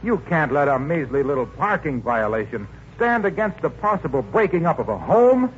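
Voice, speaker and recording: An actor playing a cheerful, persuasive salesman with polished, florid patter in a 1940s radio comedy sponsor pitch. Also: very animated, moderate pace. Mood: earnest pleading. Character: eager, glib floor-wax salesman, cheerful and persuasive. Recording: muffled 1940s radio recording, faint noise.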